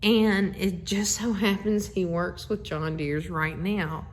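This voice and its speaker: A woman's voice: medium pitch, steady tone, takes it slowly, slight background noise. A middle-aged woman chats casually and effusively into a phone, rambling through asides as she introduces a bedtime story reading. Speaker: host of a family social media video. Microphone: handheld phone.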